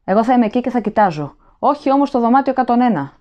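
Κάστινγκ: γυναίκα που υποδύεται πεινασμένο τρομοκρατημένο κρατούμενο, ψηλή απελπισμένη ικεσία. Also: female voice, on the high side, expressive, lo-fi sound, pitched above the performer's own voice, natural voice. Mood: frantic. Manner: desperate pleading, rising to shrieks. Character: starving terrified male prisoner, begging wildly.

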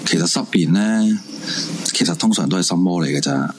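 An actor playing a calm male doctor who gives soothing, measured advice in a Cantonese radio drama. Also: deep voice, slight background noise, lightly acted. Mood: advising, calm. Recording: music behind the voice.

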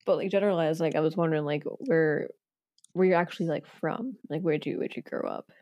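A woman's voice: low-pitched, fairly quick, warm tone, no echo, lo-fi sound. A young woman hosting a podcast in casual chat, hesitant and giggly, with lots of fillers. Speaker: podcast host.

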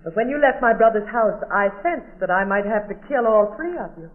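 An actress playing a cold, determined female killer with formal, deliberate menace in an old radio drama. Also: mid-range voice, lively intonation, lightly acted. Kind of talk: 1940s radio drama acting. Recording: tinny, band-limited old radio recording.

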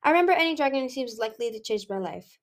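A woman's voice: a little high, expressive, rapid-fire, pitched above the performer's own voice. A woman voicing an earnest, dutiful young male dragon prince in a storybook, formal and a little awkward as he speaks.

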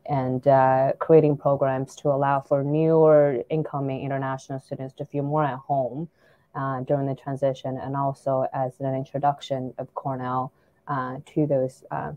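A woman's voice: a bass voice, no room sound, narrow, tinny audio. A woman in her forties from China, a startup founder talking thoughtfully as an interview guest, with many fillers and restarts. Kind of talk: casual interview conversation.

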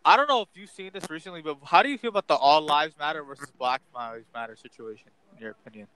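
A man's voice: on the high side, expressive, quick pace, sharp tone, clear, resonant tone, no room sound, poor audio. A young man hosting a casual debate, pressing others with pointed questions.

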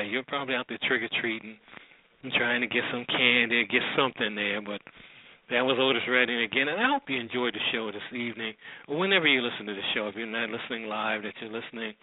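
A man's voice: mid-range voice, dry recording. Middle-aged American male radio host, loose conversational delivery, dry and tinny phone-like sound. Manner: rambling, conversational. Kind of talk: casual unscripted show sign-off.

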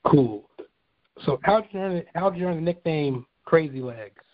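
Higher-voiced man, dry muffled sound. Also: expressive, moderate pace, no room sound. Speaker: interviewer. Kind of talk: casual interview questions.

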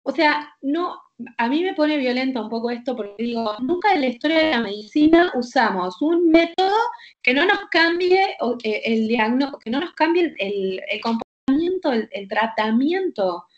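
A woman's voice: sits high, expressive, normal speed. A woman with a Rioplatense Spanish accent, a doctor explaining at length in an insistent, emphatic way and often checking that the listener follows. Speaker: doctor guest. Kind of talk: heated conversational explanation. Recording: lo-fi dull audio.

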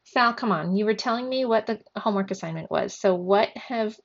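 A woman voicing an excitable, theatrical young girl in a storybook, teasing and exclaiming dramatically. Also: mid-range voice, fairly quick, dull audio, lightly acted. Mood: impatient.

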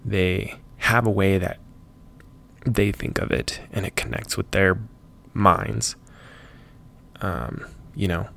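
A man's voice: sits low, steady tone, slow, creaky, a bit bright. A man hosting a solo podcast, talking unscripted and thinking aloud, rambling and often correcting himself mid-thought.

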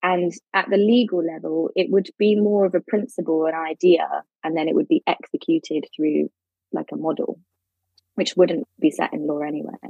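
A woman's voice: sits low, steady tone, moderate pace, vocal fry, husky, dry recording, lo-fi sound. A woman speaking as a legal expert guest in a podcast interview, explaining things informally and conversationally. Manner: informal, explains with everyday analogies.

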